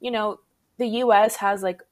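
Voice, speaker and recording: A woman's voice: medium pitch, steady tone, moderate pace. Woman on a podcast, normal mid voice, chatty but explaining stuff, considered. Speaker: podcast co-host. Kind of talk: casual discussion.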